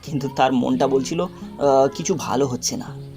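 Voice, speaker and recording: A man narrating a scripted dramatic story in short, suspenseful phrases with pauses. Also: medium pitch, warm tone, faint music behind.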